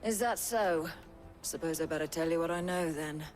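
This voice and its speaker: An actress playing a brisk, frank female fishmonger in a fantasy video game, speaking plainly and colloquially. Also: low voice, music in the background, natural voice. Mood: frank, resigned. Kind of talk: dramatic video game acting.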